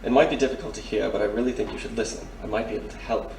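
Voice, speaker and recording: An actor playing a courtly, earnest major in a noir radio drama, formal and hesitant. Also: male voice, on the low side, steady tone, very fast, creaky, darkish tone. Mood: earnest, urgent. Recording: roomy, distant, faint background noise.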